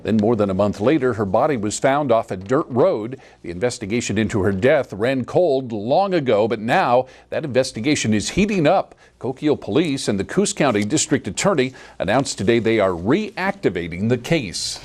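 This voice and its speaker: Male TV news anchor, American, animated scripted read. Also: medium pitch, moderate pace, hardly any pauses.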